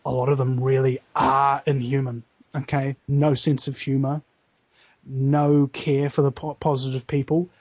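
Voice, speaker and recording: A man's voice: on the high side, a little mellow, no echo. Heated New Zealand man, muffled dry audio. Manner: heated, profane storytelling. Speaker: guest. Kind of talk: casual podcast interview.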